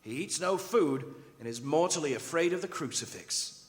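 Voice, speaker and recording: A man playing a desperate young English gentleman prisoner, narrating his diary with dramatic dread in a radio drama. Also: fairly high pitch, expressive, normal speed, close to an everyday voice. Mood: haunted dread. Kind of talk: old-time radio drama acting on stage. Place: performing arts center stage. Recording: echoing theatre hall, music behind.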